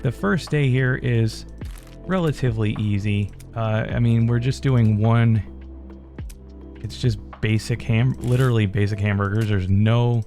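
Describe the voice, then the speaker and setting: A man hosting his gaming channel, talking in a relaxed, rambling way with fillers, his voice still strained from a head cold. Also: fairly low pitch. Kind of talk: casual gameplay commentary.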